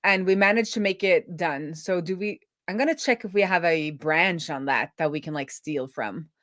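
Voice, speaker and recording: Woman streamer chatting through her coding, kinda low voice, thinking out loud, really dry audio. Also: moderate pace, dry recording. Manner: informal, thinking aloud. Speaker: livestream host, web developer. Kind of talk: casual live coding commentary.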